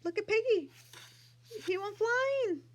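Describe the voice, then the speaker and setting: Lady reading a kids picture book, super slow, really animated, asks the little ones questions. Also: very high-pitched, noisy background. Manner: exaggerated, expressive, asks listeners questions. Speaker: children's read-aloud storyteller. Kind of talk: picture book read-aloud with asides to young listeners.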